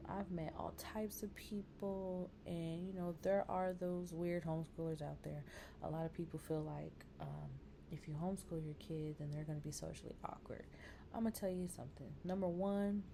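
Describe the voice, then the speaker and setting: A woman's voice: fairly low pitch, warm tone, slight background noise. A woman in her thirties talking casually to camera in a vlog, chatty and rambling with lots of fillers, encouraging her viewers. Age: 30s. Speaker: vlogger.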